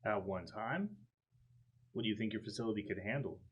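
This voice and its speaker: Low-voiced man playing a tabletop game. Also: fairly quick. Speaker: player in a tabletop roleplaying game. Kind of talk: casual in-character roleplay and table chat.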